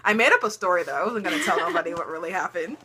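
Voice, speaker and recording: A teenage girl chatting on camera at home, her voice bright and forward. She tells stories in a loose, chatty way, laughing often and filling gaps with ums. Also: on the low side, expressive, rapid-fire. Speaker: vlogger. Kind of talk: casual storytelling chat.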